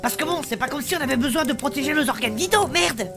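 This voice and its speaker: High-voiced, indignant warrior woman, played by an actress. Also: lively intonation, quick pace, over music. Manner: argumentative, emphatic, coarse-tongued. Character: indignant, outspoken armoured woman warrior, proudly feminine. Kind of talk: comedic fantasy audio drama acting.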